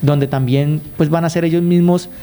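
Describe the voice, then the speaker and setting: Higher-pitched, mellow Colombian man. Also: steady tone, normal speed, clean tone, soft background music. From Colombia, raised in Guaviare. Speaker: radio guest, youth program consultant. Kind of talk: conversational interview answers.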